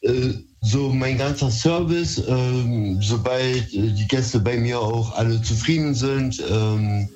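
A man's voice: mid-range voice, slow, hardly any pauses, a little mellow. A man from Berlin with a Berlin accent, calling a radio show by phone, chatting casually in a hesitant, slightly nervous way. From Berlin, Germany. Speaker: phone-in caller. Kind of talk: casual chat. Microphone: phone call to a radio show. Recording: dull lo-fi phone call.

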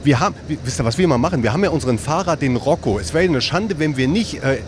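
A man's voice: fairly high pitch, lively intonation, quick pace. A man hosting casual live radio from inside a tour bus, chatting and teasing passengers in a jovial way. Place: inside a moving coach. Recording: clear vehicle noise on location.

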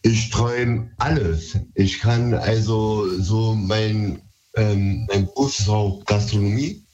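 A man's voice: on the low side, slow, darkish tone, lo-fi sound. A man from Berlin with Turkish as his first language, calling in to a radio show and talking hesitantly with many fillers. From Berlin, Germany. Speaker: caller. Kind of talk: phone-in conversation.

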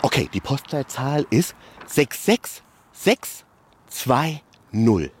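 A man's voice: mid-range voice, big pitch swings, takes it slowly, husky. A man with a Saarland accent working as a roving radio reporter, bantering live in a playful, emphatic, casual way.

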